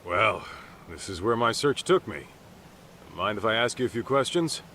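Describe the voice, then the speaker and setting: An actor playing an easygoing, plain-spoken middle-aged private detective in an English video game dub. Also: male voice, fairly low pitch, animated, normal speed, pushed and bright, a little hiss or hum. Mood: polite, casual.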